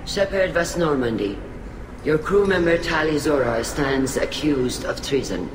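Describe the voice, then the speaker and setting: An actor playing a formal, measured male alien admiral chairing a hearing in a game cutscene. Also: a little high, expressive. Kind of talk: video game cutscene dialogue. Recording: echoey game mix with background noise.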